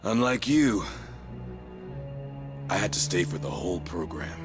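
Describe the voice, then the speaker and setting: An actor playing a weary, battered former prisoner in a video game, speaking gravely and in a measured way. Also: male voice, low voice, sing-song, loud music behind the voice, heavily acted.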